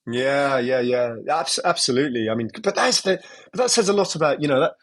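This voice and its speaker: A man's voice: mid-range voice, animated, a little fast. A man from Britain, a former football commentator, giving opinionated, rambling answers as a podcast guest. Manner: opinionated, digressive, many restarts and "you know". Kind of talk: casual conversational interview.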